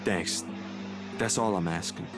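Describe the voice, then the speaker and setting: An actor playing a weary, troubled young man in a visual novel, low-key and resigned. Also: measured pace. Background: music over game dialogue.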